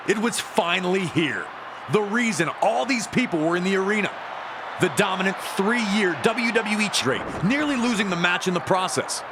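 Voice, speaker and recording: High-pitched dramatic male wrestling commentator. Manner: emphatic, dramatic. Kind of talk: dramatic retrospective sports narration. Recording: loud noise behind the voice.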